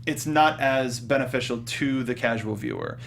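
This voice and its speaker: A man hosting a casual film review panel, talking in a halting way as he rephrases his points. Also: medium pitch, gravelly, a little hiss or hum. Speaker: host of a film review show.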